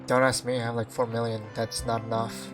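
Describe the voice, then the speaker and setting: A woman's voice: very deep voice, level tone, brisk, over music. A woman streamer giving casual commentary while playing a game, hesitant and rambling in the way she talks.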